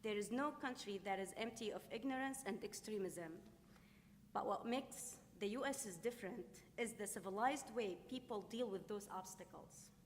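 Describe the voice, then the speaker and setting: Syrian-accented woman reading a statement. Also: mid-range voice. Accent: Syrian Arabic. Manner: careful, deliberate, slightly halting. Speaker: panelist, refugee volunteer. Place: conference hall. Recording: long reverb with faint noise in a hall.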